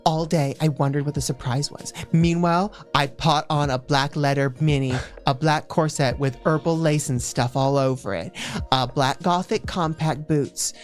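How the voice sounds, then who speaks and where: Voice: mid-range voice, steady tone, moderate pace. A streamer giving a comic, mock-serious dramatic reading, stumbling over words and dropping in casual asides. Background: faint background music.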